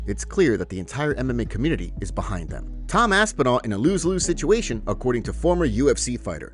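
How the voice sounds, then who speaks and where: A man presenting MMA news as scripted narration, reading out reports and quotes. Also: medium pitch.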